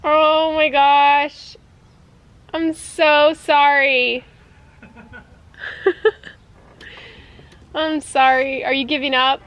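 A woman's voice: high-pitched, level tone, very slow, pauses often, highs cut off. A woman in her thirties from the United States, chatting casually to a handheld camera outdoors on a backyard farm, laughing at herself as things go wrong. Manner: chatty, self-deprecating, laughs often. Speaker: homestead vlogger. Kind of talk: casual vlog narration. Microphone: handheld camera at arm's length.

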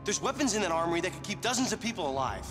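A man's voice: sits high, sing-song, racing. An actor playing an earnest, uneasy young man, a reluctant video game hero who speaks hesitantly. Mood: urgent, insistent. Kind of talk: video game cutscene acting. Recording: echoey hall with music behind.

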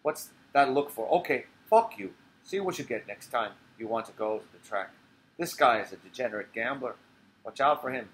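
A man acts out a streetwise old man at a bar in a stage monologue. He is weary and blunt, talking in clipped, conversational bursts with plenty of swearing, at a middling pitch. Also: animated, normal speed, fairly bright tone, a little hiss or hum, poor audio. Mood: blunt, bossy. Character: tired, profane, streetwise old male barfly rambling to strangers. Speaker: playwright reading his own play. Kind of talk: dramatic monologue reading.

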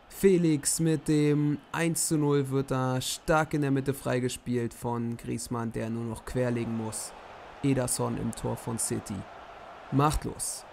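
Hoarse young man, gravelly voice, recaps matches like a commentator, faint noise behind. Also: mid-range voice. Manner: lively play-by-play summary. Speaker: host commentating simulated matches.

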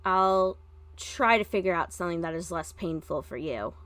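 A woman voicing a weary, stern but kind male teacher in a story, deadpan in manner. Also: lowish voice, moderate pace. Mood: reluctant.

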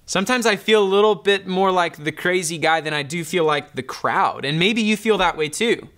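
A man's voice: sits high, normal speed, fairly bright tone. A man in his thirties, a pastor, preaching a sermon in an earnest, conversational storytelling manner. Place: dark studio, indoors.

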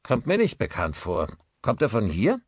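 Man playing a loud, hearty pub landlord. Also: lowish voice, huge pitch swings, normal speed, slightly rough, dry recording, clean studio sound, voice pushed up, exaggerated voice. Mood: puzzled. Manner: loud, jovial, familiar.

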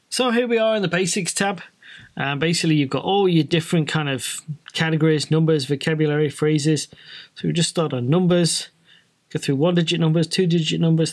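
Higher-pitched British man, casual tutorial. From Britain. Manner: informal, explanatory. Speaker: flight training presenter.

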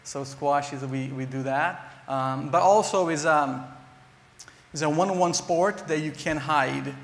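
A man's voice: on the high side, a little slow. A man in his thirties from Brazil with a Brazilian accent, speaking earnestly as a panelist on stage with frequent ums and restarts. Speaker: panelist, nonprofit director. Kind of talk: conference panel talk. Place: large conference hall stage. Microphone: lapel mic. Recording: echoey conference hall reverb.

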